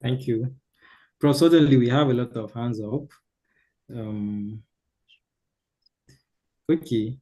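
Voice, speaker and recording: Man, moderator, video call, slow, mellow, brief. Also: medium pitch. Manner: brief, calls on participants by name. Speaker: webinar moderator.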